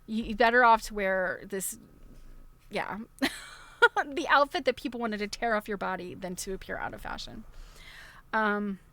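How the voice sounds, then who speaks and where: A woman's voice: mid-range voice, a little slow, pauses often, fairly bright tone. Chatty woman reading aloud on a livestream. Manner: chatty, digressive, often breaking into chuckles. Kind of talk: casual livestream read-aloud with chatty commentary.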